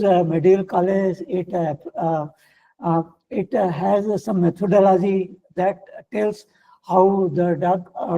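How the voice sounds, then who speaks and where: A man with an Indian English accent giving a workshop lecture, halting with many fillers and restarts, his voice getting hoarse. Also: sits high, dark tone, lo-fi sound. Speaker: guest lecturer. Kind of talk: online faculty workshop lecture.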